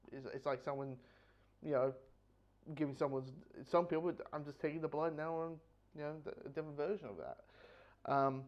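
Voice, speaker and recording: A man giving a casual spoken comic book review, rambling and repeating phrases as he goes. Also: fairly high pitch. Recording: dull, lo-fi audio.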